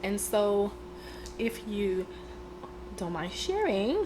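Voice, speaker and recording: A woman's voice: mid-range voice, very animated, drawn-out words, background noise. A woman hosting a podcast interview. She is under the weather and talks in a casual, friendly way with plenty of fillers. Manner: friendly and chatty, with frequent fillers. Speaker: podcast host.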